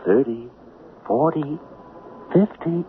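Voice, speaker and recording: An actor playing the Devil as a mocking, smooth-talking gentleman schemer in a 1970s radio drama. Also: on the high side, animated, slow. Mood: sly, persuasive. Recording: muffled, hissy old radio broadcast recording.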